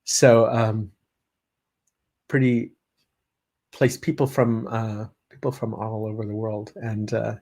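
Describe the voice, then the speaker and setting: A man hosting a live-streamed panel discussion, speaking haltingly with many pauses. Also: fairly low pitch, level tone, darkish tone. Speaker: host, panel moderator.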